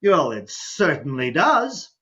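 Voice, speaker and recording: A man playing a sweet, gullible, bewildered physician, hesitant and slow to catch on, in a comic stage farce reading. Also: a little high, huge pitch swings, a bit bright, breathy edge, highs cut off, full character voice. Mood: astonished. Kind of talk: comic farce readers theatre.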